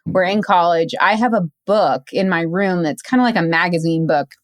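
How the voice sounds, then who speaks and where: A woman's voice: lowish voice, moderate pace, no echo, clean studio sound. A woman in her thirties chatting casually as an interview guest, with an emphatic way of talking. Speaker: podcast guest, entrepreneur. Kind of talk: casual chat, storytelling.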